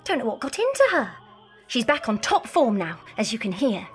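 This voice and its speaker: A woman's voice: fairly high pitch, wildly swooping pitch, moderate pace. An actress playing a chatty, cheeky young woman gossiping at the opera in a radio drama. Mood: gossipy. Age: young adult. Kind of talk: full-cast radio drama acting. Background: music.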